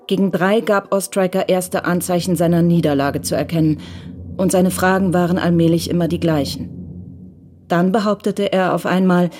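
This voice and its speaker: A woman's voice: lowish voice, a little mellow, a little hiss or hum. A woman reading scripted narration for a radio crime drama.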